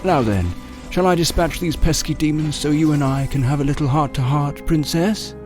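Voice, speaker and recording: A man playing a theatrical, taunting villain in an audio drama, with a mocking, drawn-out, mock-courteous manner. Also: a little high, close to an everyday voice. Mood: mocking, smug. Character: taunting, theatrical male villain toying with a princess. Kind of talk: fan audio drama acting. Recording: heavily echoing, loud music underneath. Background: music.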